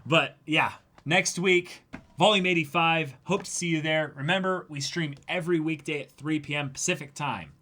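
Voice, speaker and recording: Bright-voiced man co-hosting a fan livestream. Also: fairly high pitch, moderate pace. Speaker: livestream co-host. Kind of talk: casual fan discussion on a livestream.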